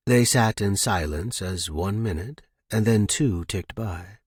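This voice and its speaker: A man's voice: on the low side, measured pace, husky, no echo, clean studio sound. A man reading scripted fiction as an audiobook narrator.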